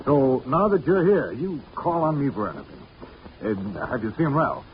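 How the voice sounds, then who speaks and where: An earnest male doctor in 1940s radio style. His speech is formal and hesitant, with a highly animated melody. The recording is dull, with faint noise behind it. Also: a little slow. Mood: polite, relieved. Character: earnest, anxious educated male doctor, worried brother. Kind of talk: 1940s radio drama acting.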